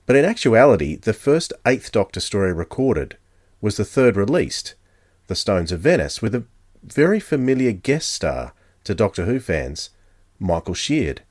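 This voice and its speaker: A man's voice: fairly low pitch, sing-song, moderate pace, darkish tone, dry recording, studio quality. A man with an Australian accent giving a scripted introduction as a podcast host.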